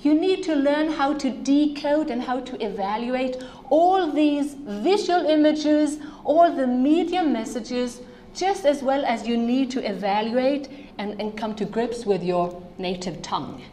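A woman's voice: high-pitched, unhurried, warm tone, reverberant, a little hiss or hum. A woman from Norway, a native Norwegian speaker, giving an earnest, emphatic conference talk on ministry to children.

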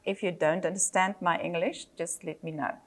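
Older South African woman, Afrikaans native; measured lecturer, fillers and restarts, slightly low voice. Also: steady tone. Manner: measured, with frequent fillers and self-corrections. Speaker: psychologist and lecturer. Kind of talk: presentation lecture.

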